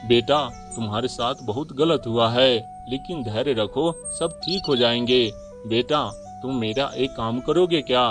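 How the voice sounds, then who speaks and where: A man's voice: mid-range voice, unhurried, few pauses, music in the background, lightly acted. Fatherly wise priest played by a man. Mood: concerned. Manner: fatherly, reassuring.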